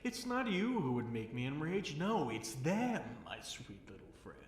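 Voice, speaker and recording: A man playing an obsessive, seductive fallen dragon king held captive, speaking in a slow, teasing, theatrical purr for an intimate ASMR roleplay. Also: a little high, big pitch swings. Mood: protective, intense. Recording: roomy echo with faint noise.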